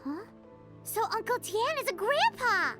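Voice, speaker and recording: A woman voicing a tiny floating female game companion who is excitable and pushy, with a squeaky, chirpy voice. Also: a little slow, soft background music, full character voice. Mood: surprised. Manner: eager, bossy urging. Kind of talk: game voice acting.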